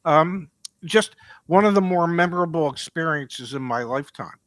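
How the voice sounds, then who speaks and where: An older American man hosting a conversational podcast interview in a deliberate, storytelling manner. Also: on the high side, lively intonation, fairly bright tone, dry recording. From United States. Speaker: podcast host.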